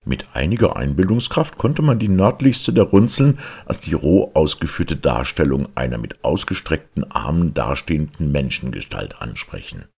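A man reading a literary story aloud as an audiobook narrator, with a gravelly, husky voice and measured, deliberate delivery. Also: extremely low pitch, very animated, a little mellow.

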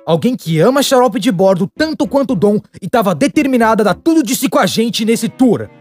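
A man plays an excitable young Brazilian cartoon storyteller. His voice sits very high and swings around with lively, comedic delivery. Light music plays behind his wry, chatty narration. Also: brisk, no room sound. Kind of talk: animated storytime narration, dubbed.

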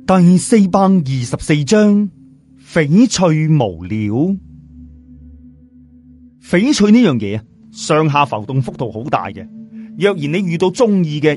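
A man with a Cantonese accent narrating a story as an audiobook storyteller, in an animated, conversational, explanatory way. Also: a little high, normal speed, faint music behind. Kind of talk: animated scripted narration with colloquial asides.

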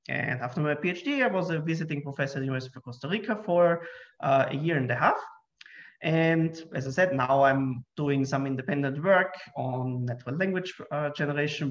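A man in his thirties, originally from Austria, giving a friendly, self-deprecating conference talk on a video call, with frequent fillers. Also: on the high side. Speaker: computer science researcher giving a talk. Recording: muffled video call audio.

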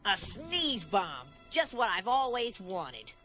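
A man's voice: sits high, wildly swooping pitch, takes it slowly, bright, forceful tone, heavily acted. A man playing a cocky, wisecracking young hedgehog hero in a cartoon, brash and sarcastic. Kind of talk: animated cartoon acting. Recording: music behind, old cartoon soundtrack.

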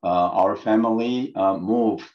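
Slow, halting man on a muffled call. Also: on the low side. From Taiwan. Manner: halting, many restarts and fillers. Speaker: guest speaker, engineering professor. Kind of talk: personal talk over slides. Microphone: video call. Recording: dull lo-fi video call audio.

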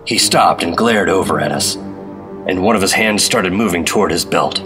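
Man as low-voiced hardboiled government agent over music. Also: normal speed, lightly acted. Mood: tense. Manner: clipped, hardboiled, dramatic storytelling. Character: determined male 1950s government agent, hardboiled and wry. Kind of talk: old-time radio drama narration and dialogue. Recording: dramatic music behind the voice.

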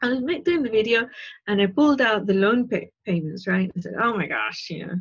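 A woman's voice: mid-range voice, animated, fairly quick, husky. A woman in her fifties giving a casual spiritual talk from her living room over a video call, earnest and rambling, checking in with "right?" and "you know". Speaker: spiritual teacher. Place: living room at home, indoors. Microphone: wired earphone mic on a video call. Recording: dull lo-fi call audio.

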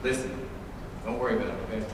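A man's voice: on the low side, fairly even intonation, racing, a little hiss or hum, natural voice. A young man acting in a live stage play as a cocky, flippant college womanizer with a smug, casual manner. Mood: evasive, casual. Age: young adult. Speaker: stage actor. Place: large indoor theater stage. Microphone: far camera. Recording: distant camera recording in echoey theater.

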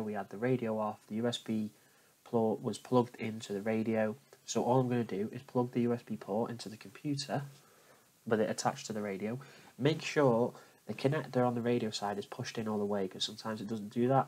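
A man talking viewers through a software tutorial step by step, in an informal, explanatory manner. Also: mid-range voice, fairly even intonation, a little fast, poor audio. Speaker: tutorial host. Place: indoors at a computer, voice over screen capture.

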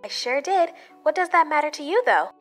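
Showy female news reporter, a woman acting, swooping pitch. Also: very animated, brisk, fairly bright tone, faint music behind. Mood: breezy. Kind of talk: scripted news parody in amateur drama.

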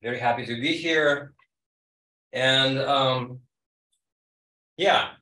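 A man's voice: medium pitch, slow, bright, forceful tone. Middle-aged Kazakh man lecturing in echoey room. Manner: conversational, checks in with "right?". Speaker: conference panelist. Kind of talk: academic presentation. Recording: echoey room, distant mic.

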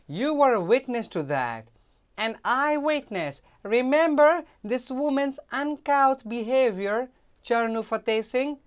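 A woman voicing a quarrelsome palace maid, high-pitched, loud and indignant. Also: expressive, slow pace.

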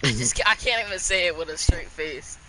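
Loud joking young male streamer. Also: on the high side, animated, fairly quick. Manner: loud, jokey, swings between shouting and mumbling. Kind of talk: casual gaming banter. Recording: noisy game audio behind.

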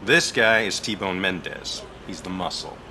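An actor playing a calm, matter-of-fact underworld informant in a video game, measured and understated. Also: male voice, low voice, creaky, a little hiss or hum, exaggerated voice. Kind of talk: video game cutscene acting.

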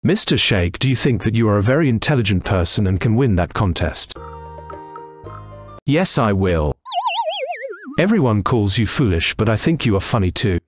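A man voices an emphatic male character from a cartoon folk tale. The voice is low and swings widely, with faint music behind it. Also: sing-song, normal speed, long pauses. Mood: boastful, mocking. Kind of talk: scripted cartoon dialogue.

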